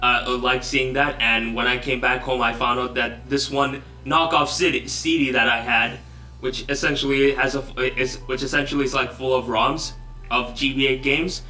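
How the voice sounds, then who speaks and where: A man's voice: mid-range voice, steady tone, moderate pace, bright, forceful tone, faint music behind. A young man from Bangladesh, a guest in a casual online interview, joking and self-deprecating as he rambles. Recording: roomy echo, distant from mic.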